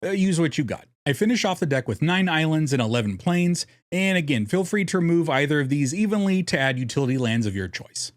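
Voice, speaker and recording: A man's voice: a little high, animated, brisk, few pauses, no echo, studio quality. A man narrating a scripted strategy guide in a jokey, irreverent way, casually swearing as host. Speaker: host of a card game deck guide.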